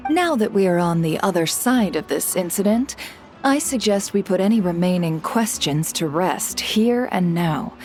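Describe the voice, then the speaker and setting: Poised, lowish-voiced female guild boss, played by an actress. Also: expressive, moderate pace, a little mellow, faint music behind, close to an everyday voice. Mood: shrewd, poised. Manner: poised, concise, dryly businesslike. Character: cool, shrewd female guild boss, self-assured. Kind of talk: video game character acting.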